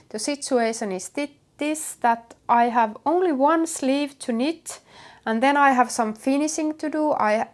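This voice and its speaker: Slow-talking Finnish woman, higher-pitched voice. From Finland. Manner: hesitant, halting with many pauses. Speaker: knitwear designer and vlog host. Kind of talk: casual chat to viewers.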